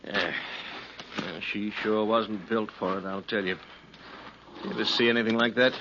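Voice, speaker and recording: An actor playing a folksy, plain-spoken drifter in a 1950s radio western, talking in a colloquial way. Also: male voice, on the low side, fairly even intonation, normal speed, lightly acted. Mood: wry grumbling. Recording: muffled, faintly noisy 1950s radio recording.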